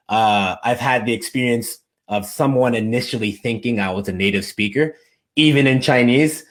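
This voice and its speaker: A man being interviewed as a guest, telling stories in a casual, enthusiastic way. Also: on the low side. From United States. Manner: animated, enthusiastic storyteller. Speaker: interview guest, language coach.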